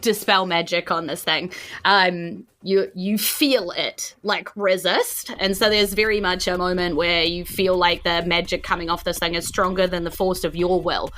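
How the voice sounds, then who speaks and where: A woman's voice: lowish voice, steady tone, normal speed, hardly any pauses, fairly bright tone. New woman running a tabletop game. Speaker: game master. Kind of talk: improvised tabletop game narration.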